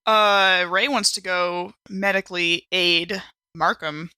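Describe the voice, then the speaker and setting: A woman's voice: lowish voice, steady tone, takes it slowly, pushed and bright, smooth, dry recording. A woman in casual banter as a player in a tabletop game.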